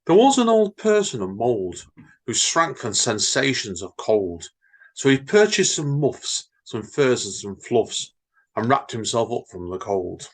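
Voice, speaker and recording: Man, husky and animated, podcast reader with a wry streak. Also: mid-range voice, unhurried. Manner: lively, chatty, wry teasing. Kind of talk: reading poems and casual chat.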